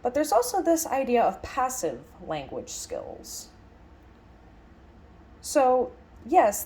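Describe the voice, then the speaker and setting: A woman's voice: a little high, warm tone. A woman interpreting a lecture aloud in a conversational, reflective style, musing with emphatic phrasing and rhetorical questions. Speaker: sign language interpreter voicing a signed lecture.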